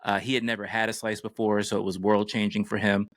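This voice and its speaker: A man's voice: lowish voice, flat delivery, quick pace, no echo. A middle-aged American man chatting casually as a podcast host. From United States.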